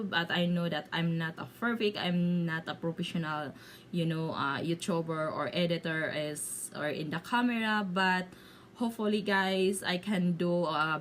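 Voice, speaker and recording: A woman from the Philippines with a Bisaya Filipino accent, telling a personal story to viewers in a casual, halting way as she searches for words in limited English. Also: on the low side, a little hiss or hum. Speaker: video blogger.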